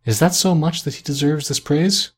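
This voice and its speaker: A man's voice: fairly high pitch, normal speed, husky, pitched above the performer's own voice. A man voicing a stern, ancient male ghost who questions insistently, in an audiobook reading. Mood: probing. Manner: probing, insistent. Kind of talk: audiobook character voice.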